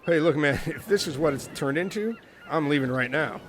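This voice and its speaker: A man's voice: fairly high pitch, lively intonation, a little fast, slight background noise, close to an everyday voice. A man playing a blunt, wary castaway in a comic radio play, casual and protesting.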